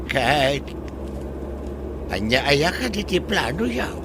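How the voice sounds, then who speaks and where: A man's voice: fairly high pitch, sing-song, slow, vocal fry, noisy background, full character voice. A Filipino man playing a meek, flustered farm worker in a comedy radio drama, hesitant and grumbling. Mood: flustered, deferential. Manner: hesitant, stammering deference.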